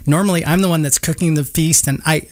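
A woman's voice: low voice, fairly even intonation, fast talker, darkish tone, no echo. A woman who is the board operator and producer of a live radio show, talking in a friendly, enthusiastic way in a studio.

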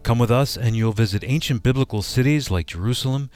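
A man's voice: medium pitch, fast talker, smooth, no room sound. A man reading a scripted radio advert as its announcer. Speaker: promo announcer. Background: soft music bed.

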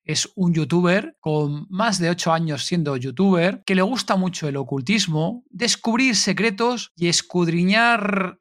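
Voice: medium pitch, a little slow, few pauses, a bit bright. A podcast host tells a long story in a conversational way and often ends sentences with a questioning "no?". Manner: explains at length, tags sentences with "no?".

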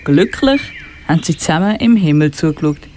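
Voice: mid-range voice, expressive, fairly quick, noisy background. A young narrator with a Swiss German accent reading a scripted children's story. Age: child or teenager.

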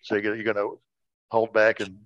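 A man's voice: fairly low pitch, completely flat delivery, normal speed, a bit bright. A man co-hosting a casual talk show, joking around with playful wordplay. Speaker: show co-host. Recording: dull lo-fi, roomy echo.

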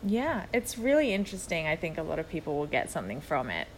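A woman's voice: sits low, wildly swooping pitch, quick pace, rough recording. A woman co-hosting a podcast in casual, conversational talk. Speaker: podcast co-host. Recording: clear background ambient noise.

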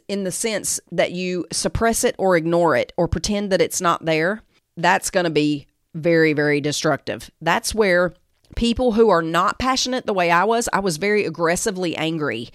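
A woman giving a devotional Bible teaching, part scripted and part conversational, in an earnest, emphatic way with deliberate pauses. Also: mid-range voice, no echo, studio quality. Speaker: Bible teacher and show host.

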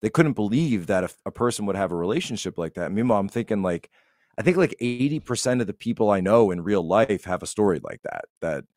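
A man in casual, opinionated podcast conversation, telling stories in a rambling, animated way. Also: lowish voice, fast talker, no echo, clean studio sound.

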